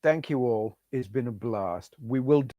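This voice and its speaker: A middle-aged man with a hoarse voice, chatting casually to viewers on a retro gaming live stream and reading out their names. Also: medium pitch, moderate pace, dark tone, dry recording, lo-fi sound. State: hoarse, losing his voice.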